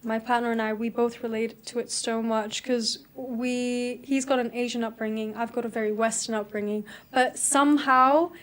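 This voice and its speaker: Chatty woman, higher voice, reflective. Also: level tone, normal speed, hardly any pauses, a bit bright. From South Africa. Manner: reflective, chatty, laughs easily. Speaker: interview guest. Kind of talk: casual interview conversation.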